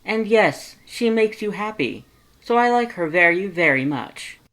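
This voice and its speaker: Big loud excitable skeleton guy, high voice, bellowing, super earnest and sweet, storybook cartoon style. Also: a little slow, rough recording. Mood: earnest, enthusiastic. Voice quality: loud, booming. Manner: emphatic, formal wording, exclamatory. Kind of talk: animated fan fiction character reading.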